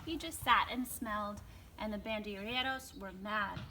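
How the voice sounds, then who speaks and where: A woman reads a picture book aloud to young children in an expressive way, with dramatic emphasis and hushed phrase endings. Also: medium pitch, moderate pace, slight background noise. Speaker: children's storytime reader. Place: outdoors in a backyard.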